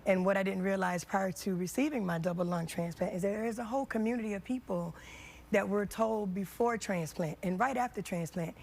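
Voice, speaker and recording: A woman's voice: mid-range voice, fairly quick, creaky, a little mellow. A woman giving an inspirational, conversational interview, emphatic and repeating phrases for effect. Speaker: interview guest, foundation founder.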